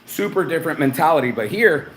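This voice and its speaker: A man's voice: fairly high pitch, animated, quick pace, a bit bright, slight background noise. A man from New Orleans giving a blunt, rambling, profane live travel update from a hotel room. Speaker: vlogger. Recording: echoey room with faint ambience.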